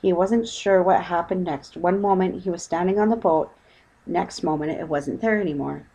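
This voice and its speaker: A woman's voice: fairly low pitch, steady tone, moderate pace, warm tone, slightly rough, low-quality recording. A woman reading a scripted children's story as narrator. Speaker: audiobook narrator.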